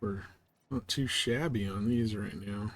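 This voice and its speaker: A man's voice: fairly low pitch, measured pace, fairly bright tone, a little hiss or hum. A man giving casual live commentary on a game, chatting and thinking aloud. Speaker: game streamer.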